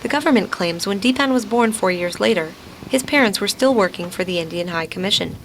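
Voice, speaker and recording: A woman reports in scripted radio documentary style. Her voice sits at a medium pitch, with faint ambient noise behind it. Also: animated, brisk.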